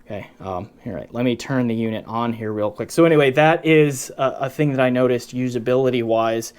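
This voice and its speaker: A man's voice: mid-range voice, brisk, smooth. A man giving a casual, unscripted gear review, explaining things conversationally and often breaking off his own sentences. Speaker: product reviewer.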